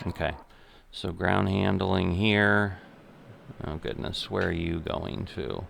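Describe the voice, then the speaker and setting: Gravelly, low-voiced man thinking aloud. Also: fairly even intonation, fairly bright tone, a little hiss or hum. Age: middle-aged. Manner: thinking aloud, methodical, halting. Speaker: gaming video host. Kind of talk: unscripted simulation game commentary.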